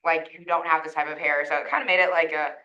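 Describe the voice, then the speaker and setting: A woman's voice: sits low, fairly even intonation, fast talker, sharp tone, highs cut off. A young woman sharing a personal story, casual and hesitant with many fillers. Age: young adult.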